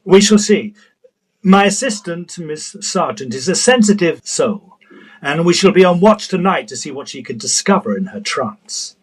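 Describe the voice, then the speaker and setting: A man performs an earnest gentleman ghost hunter telling a period story. His husky voice sits fairly high and moves expressively, formal and dramatic. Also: expressive, moderate pace, rough recording. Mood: narrating. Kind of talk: scripted period narration and drama acting.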